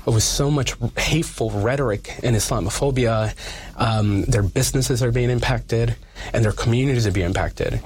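A man's voice: medium pitch, darkish tone, slightly rough. A man speaking as a community activist in an interview, earnest and emphatic, repeating phrases for weight.

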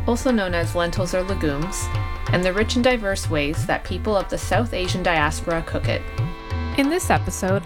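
A woman host introducing her show and then interviewing a guest while cooking, with short affirmations. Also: mid-range voice. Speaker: podcast host. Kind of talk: scripted intro and casual interview.

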